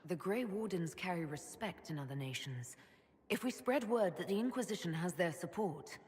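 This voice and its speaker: Woman playing a resolute, measured commander. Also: on the low side, animated, a little mellow, slight background noise, close to an everyday voice. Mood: calm, gentle. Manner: measured, authoritative. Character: resolute, diplomatic young female commander of a holy order. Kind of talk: video game cutscene acting.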